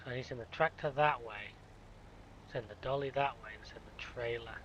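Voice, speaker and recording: A man's voice: mid-range voice, a little fast, fairly bright tone, muffled recording. A man with a blocked nose from a cold, casually commenting on a farming game and grumbling at it as he plays. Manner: grumbling, self-mocking muttering at the game. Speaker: gaming YouTuber. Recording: game sounds and vehicle noise behind. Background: farming game vehicle noise.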